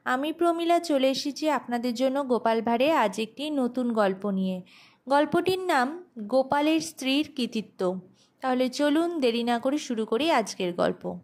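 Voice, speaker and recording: A woman's voice: high-pitched, lively intonation, normal speed, darkish tone. A woman narrating a scripted audio story as the host.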